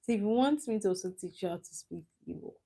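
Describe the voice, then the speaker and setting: A woman's voice: medium pitch, expressive, fast talker, warm tone. Young Nigerian woman, live stream host; casual, chatty, laughs easily. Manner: chatty, teasing, laughs often, answers chat comments. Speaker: livestream host.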